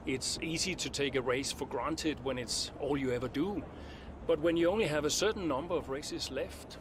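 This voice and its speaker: A man playing a composed veteran racing driver in a video game, answering press questions in a measured, polite way. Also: on the high side, creaky, noisy background. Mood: reflective. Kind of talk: press interview in game cutscene.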